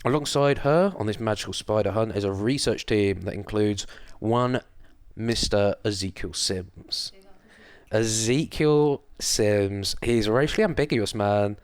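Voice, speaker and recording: Low, smooth-voiced man, wry podcast host. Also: measured pace, no echo. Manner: wry, sarcastic, sweary. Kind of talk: casual chat, recapping a film plot.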